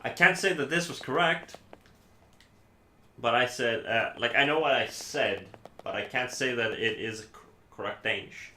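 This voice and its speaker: A man from Iceland with an Icelandic accent, a native Icelandic speaker, chatting casually as a game streamer in a halting, rambling, self-correcting way. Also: mid-range voice, sharp tone. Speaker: live streamer playing games. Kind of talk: casual chat while gaming. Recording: echoey room, faint background noise.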